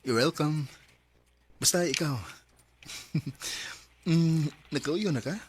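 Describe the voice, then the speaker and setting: An actor playing an earnest, lovestruck young man in a radio drama, eager and soft-hearted. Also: fairly high pitch, slow pace, husky. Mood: hopeful, eager. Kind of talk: radio drama acting and letter narration.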